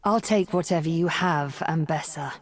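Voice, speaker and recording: An actress playing a cold, scheming sorceress villain in a video game, slow and theatrical with menace, in a hushed, breathy voice. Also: female voice, deep voice, no echo. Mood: imperious, threatening. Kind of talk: video game character voice acting. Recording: game voice over background music.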